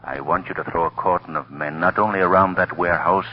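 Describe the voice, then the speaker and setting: A very deep, mysterious unseen crime fighter. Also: male voice, fast, slightly rough. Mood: commanding, urgent. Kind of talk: 1930s radio drama acting. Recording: muffled old radio recording.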